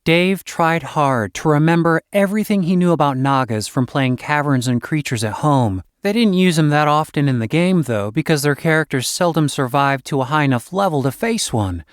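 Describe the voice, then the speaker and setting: A man's voice: fairly high pitch, expressive, normal speed, few pauses, clear, resonant tone, no echo, clean studio sound. A man reading a fantasy story as scripted narration, as an audiobook reader.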